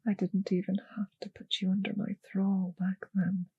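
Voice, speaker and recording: Vampire mistress, played by a woman: very breathy, half-whispered, seductive and possessive, close dry ASMR delivery with some creak. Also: medium pitch, completely flat delivery, no echo, phone-quality sound. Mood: tender, hypnotic. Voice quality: breathy, often slipping into whispers. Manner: slow coaxing, teasing, commanding. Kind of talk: intimate ASMR audio roleplay. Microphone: close microphone, dry.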